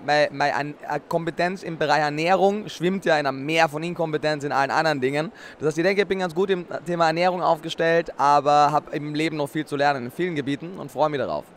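A young man talks into a handheld mic at an indoor expo booth. His voice sits a little high, and he explains things fluently and articulately, often with a short chuckle before he answers. Faint background noise runs underneath. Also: steady tone, fast talker, hardly any pauses. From Austria. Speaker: interview guest, nutrition expert. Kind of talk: casual interview answers.

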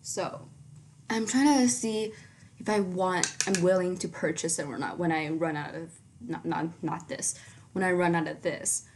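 A teenage girl chatting casually through her makeup routine in a small bedroom, rambling with lots of ums and likes, her voice fairly low for her age. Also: steady tone, a little slow, darkish tone, a little hiss or hum. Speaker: beauty vlogger. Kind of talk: casual makeup tutorial chat.

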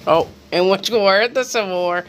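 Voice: medium pitch, normal speed, a bit bright. Someone casually reading quiz questions aloud, sometimes stumbling over the words, in a room at home. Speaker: quiz reader. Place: small room in a home, off camera. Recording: faint background noise, homemade video.

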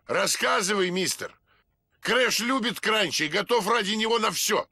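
A man playing a bossy, protective mask spirit in a cartoon game, stern and expressive. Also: sits high, moderate pace. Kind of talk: cartoon video game dubbing.

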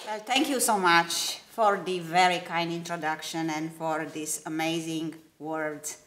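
A middle-aged woman with a Romanian accent giving a gracious, measured acceptance speech at a podium. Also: low-pitched, steady tone, fairly bright tone, some chatter behind. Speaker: award recipient giving a lecture. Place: wood-panelled lecture hall. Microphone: podium microphone.

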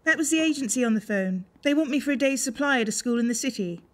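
A woman acting in a radio soap as a brisk, doubting wife who runs a village post office. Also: high-pitched, expressive, slight background noise. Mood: brisk worry.

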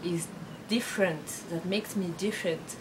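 A woman's voice: on the low side, moderate pace. A woman in her late twenties, born in France with Brazilian and Belgian parents, answering interview questions thoughtfully with many fillers. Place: bedroom with bookshelves, indoors. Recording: clear background noise.

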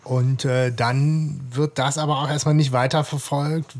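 Mid-pitched man recapping a story. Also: flat delivery, measured pace, a little mellow. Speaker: podcast co-host. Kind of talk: casual episode recap and commentary.

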